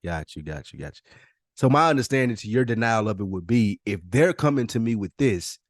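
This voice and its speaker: A man's voice: lowish voice, expressive, fairly quick, no echo, studio quality. A man co-hosting a podcast, chatting casually in a reflective, slangy and profane way. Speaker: podcast co-host. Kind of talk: casual chat.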